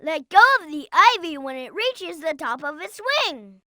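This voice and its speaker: A performer voicing a young boy fox sidekick in a video game, childlike, earnest and excitable, eager to explain things. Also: sits high, normal speed, no echo, clean studio sound, heavily acted. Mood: earnest, observant. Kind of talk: video game character voice acting.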